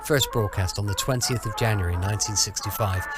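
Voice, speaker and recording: A man's voice: on the low side, a little fast, music in the background. A British man hosting a podcast in a chatty, informal way, talking directly to the listener. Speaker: podcast host. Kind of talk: chatty scripted podcast introduction.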